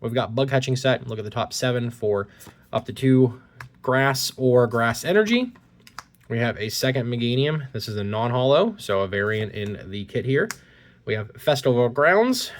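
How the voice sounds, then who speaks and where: A man's voice: mid-range voice, expressive, normal speed. Chatty man with a stuffy cold. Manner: chatty, reads card text aloud. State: slightly congested from a cold. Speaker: card-opening YouTuber. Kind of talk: casual card-opening commentary. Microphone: phone recording at point-of-view angle.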